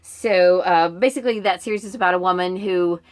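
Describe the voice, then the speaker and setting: A woman with a fairly low-pitched voice talks casually, as a book vlogger would. Her delivery is informal and meandering, and she often breaks off her own sentences to add an aside. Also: a little fast. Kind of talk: casual chatty reading-list vlog.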